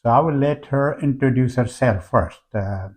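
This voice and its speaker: Older male interviewer, formal and measured, with hesitant fillers, mid-pitched. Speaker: interviewer, retired professor.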